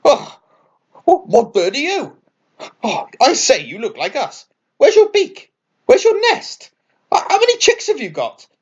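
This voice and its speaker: A man voicing excitable, nosy little birds in a children's story, exclaiming and twittering. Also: very high-pitched, a little slow, breathy edge, highs cut off, pitched above the performer's own voice, exaggerated voice. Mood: excited, bombarding.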